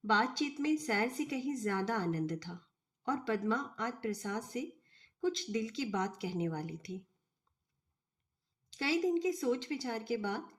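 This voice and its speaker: A woman reading a literary short story as scripted narration, in a measured, deliberate manner. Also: fairly high pitch.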